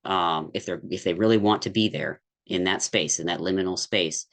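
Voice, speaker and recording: A man's voice: sits low, moderate pace, creaky, a bit bright. A man talking candidly as a podcast guest, thoughtful and rambling, with many restarts and fillers. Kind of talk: candid conversational podcast talk.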